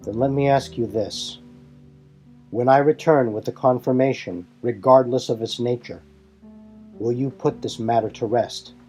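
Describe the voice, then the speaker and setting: A man voicing a solemn, resolute warrior leader in a fan audio drama, speaking in formal, earnest, deliberate phrases. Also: mid-range voice, darkish tone, soft background music, lightly acted. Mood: stern, firm.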